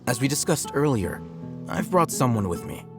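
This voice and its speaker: A man voicing a calm, aloof young male scholar in a video game, measured and dry. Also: medium pitch. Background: music.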